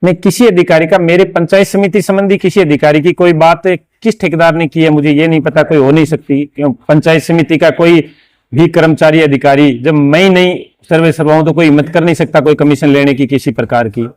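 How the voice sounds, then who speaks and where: A man's voice: a little high, fairly even intonation, brisk, darkish tone, no room sound. A man, a local official giving emphatic, repetitive denials in an interview. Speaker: local council head. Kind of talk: interview statement.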